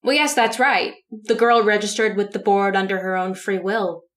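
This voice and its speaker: A woman's voice: mid-range voice, steady tone, normal speed, close to an everyday voice. An actress playing a chatty, officious and obliging woman clerk in a radio drama, fussily repeating herself.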